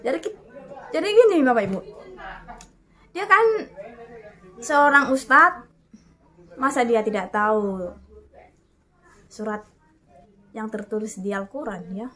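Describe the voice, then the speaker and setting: A woman in her thirties giving an animated, casual sermon-style talk, often addressing her listeners as "Bapak Ibu" and reading verses aloud. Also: a little high, slow pace, pauses often. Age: 30s. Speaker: online preacher.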